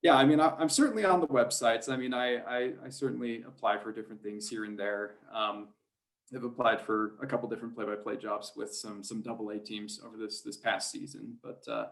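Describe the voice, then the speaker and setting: A man with a mid-range voice talks in a relaxed interview style. He sounds conversational and unaffected, like a play-by-play sports announcer speaking as himself rather than putting on a broadcast voice. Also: mid-range voice, fairly quick, vocal fry. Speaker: high school sports radio play-by-play announcer. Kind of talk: casual interview chat.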